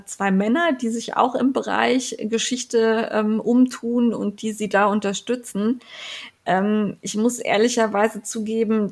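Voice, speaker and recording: A woman's voice: medium pitch, vocal fry. A woman co-hosting a podcast, chatting casually with frequent fillers and restarts. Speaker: podcast co-host.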